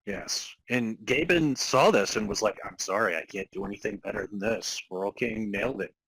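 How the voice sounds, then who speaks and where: A man with a somewhat low, husky voice co-hosts a podcast. He jokes casually in a mock-serious way, and the recording is dry and close. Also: moderate pace, a bit bright, no room sound, poor audio. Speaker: podcast co-host. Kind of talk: casual comic banter.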